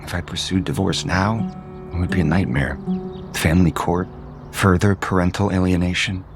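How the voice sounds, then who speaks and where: A man's voice: low-pitched, fairly even intonation, moderate pace, over music, natural voice. A male actor playing a guarded, conflicted adult son and unhappily married father in an audio soap opera. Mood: bitter, earnest. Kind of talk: naturalistic soap opera acting.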